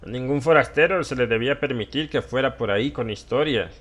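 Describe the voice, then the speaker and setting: A man's voice: mid-range voice, fairly quick, a bit bright, close to an everyday voice. Man acting a haunted, ranting old drunkard. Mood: fearful, conspiratorial. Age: older. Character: haunted, ranting old drunkard recounting small-town horrors. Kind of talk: dramatised horror audiobook monologue.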